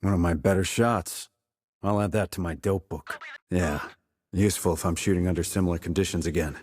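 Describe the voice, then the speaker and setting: An actor playing a terse, dry, confident military sniper in a video game, with a husky voice and clipped, controlled reports. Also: male voice, low-pitched, fairly even intonation, fairly quick, darkish tone, no room sound. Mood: dry satisfaction. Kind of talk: scripted military game dialogue.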